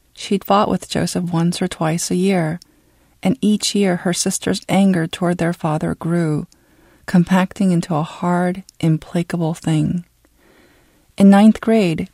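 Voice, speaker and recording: A narrator reading literary fiction in a measured, dramatic storytelling way. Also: medium pitch, warm tone, dry recording, studio quality. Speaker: audiobook narrator.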